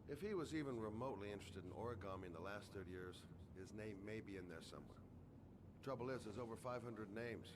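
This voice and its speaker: An actor playing a gruff, weary middle-aged male investigator in a video game, dry and plain-spoken. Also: fairly low pitch, lively intonation, quick pace, close to an everyday voice. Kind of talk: naturalistic video game acting. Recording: noisy game ambience behind.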